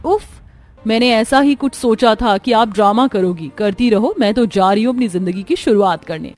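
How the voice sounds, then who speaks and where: A woman's voice: on the high side, moderate pace, darkish tone, close to an everyday voice. A woman voicing a self-absorbed young daughter in a cartoon, defiant and dismissive.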